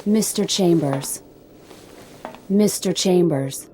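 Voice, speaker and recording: Low-voiced, formal female spaceship computer. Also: slow, warm tone, a little hiss or hum. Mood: polite, impersonal. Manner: formal, stilted, impersonal announcements. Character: polite, coldly procedural female spaceship computer. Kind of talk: science fiction radio drama acting.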